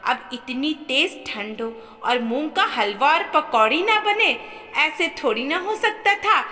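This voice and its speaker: A woman tells a family story in a high, very animated voice. Her scripted narration is emphatic and expressive, with a bright edge, and a long reverb trails her words. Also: a little slow.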